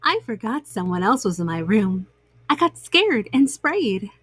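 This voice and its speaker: A woman's voice: medium pitch, sing-song, measured pace, a bit bright, husky, no room sound, heavily acted. A woman voicing a friendly, earnest skunk in a children's bedtime story, keen to please. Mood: sheepish. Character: eager, friendly, apologetic young skunk.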